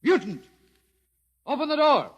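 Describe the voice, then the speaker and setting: An actor playing a suspicious, contemptuous military lieutenant in a 1950s radio drama, barking and curt. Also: male voice, extremely high pitch, big pitch swings, a little slow, long reverb tail, full character voice. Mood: shouting orders.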